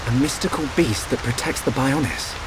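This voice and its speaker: A man's voice: mid-range voice, normal speed, very noisy, lightly acted. A man playing an earnest, thoughtful and resolute young hero in a video game cutscene.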